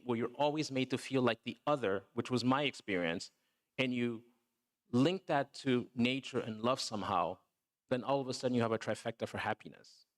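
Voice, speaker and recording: Man on a panel stage, reflective, mid-pitched voice, restarts sentences, says you know and right. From Togo. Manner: thoughtful, repeats words when starting, says "you know" and "right". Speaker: film producer, guest.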